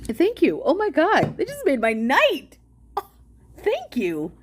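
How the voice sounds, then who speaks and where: Loud, excitable woman streamer. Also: sits high, slow pace. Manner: excitable, gasps and squeals at viewer gifts, swears casually. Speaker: livestream host reading a document aloud. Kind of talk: casual livestream chat and reading aloud.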